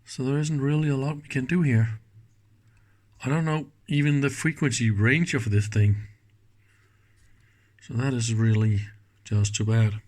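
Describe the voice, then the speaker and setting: A man's voice: medium pitch, steady tone, unhurried, pauses often, a little mellow. A man giving casual hands-on commentary at an indoor workbench, thinking aloud informally with small exclamations. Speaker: host of electronics teardown videos.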